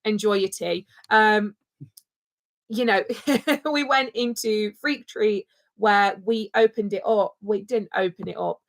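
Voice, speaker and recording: Perempuan Inggris yang bicara berapi-api. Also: female voice, medium pitch, slow. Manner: passionate and outspoken, repeating points for emphasis. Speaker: co-host. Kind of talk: casual livestream chat.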